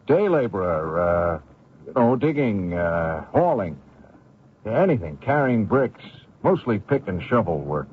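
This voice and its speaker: A man's voice: fairly low pitch, very animated, unhurried, husky, full character voice. An actor playing an anxious young male fugitive, hesitant, polite and evasive, in old-time radio drama style. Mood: nervous, evasive. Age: young adult. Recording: noisy lo-fi old radio recording.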